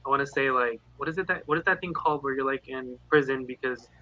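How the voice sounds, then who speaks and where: A man's voice: medium pitch, fast, bright, forceful tone, no echo, muffled recording. A man in a casual book discussion, rambling along and voicing quotes as he tells anecdotes. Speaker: read-along panelist. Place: online live video chat.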